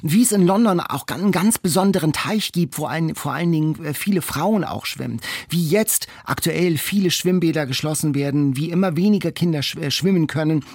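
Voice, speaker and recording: A man's voice: a little high, a little fast, few pauses, a little mellow, slightly rough, no echo. A man, co-hosting a conversational book podcast in an enthusiastic, reflective manner. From Germany. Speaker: podcast co-host discussing books.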